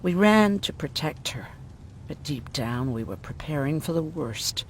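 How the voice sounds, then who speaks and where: Blunt, expressive woman camp survivor. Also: deep voice, a little slow, a little hiss or hum. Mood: grim recounting. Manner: blunt, world-weary. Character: gruff, sardonic woman medic who survived a prison camp. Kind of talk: video game dramatic acting.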